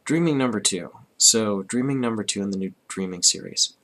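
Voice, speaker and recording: Hoarse man in his thirties, sick with a cold, low and bright-edged voice, rambling comic review. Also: brisk, low-quality recording. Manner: rambling, frequent restarts and throat clearing. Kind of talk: casual review.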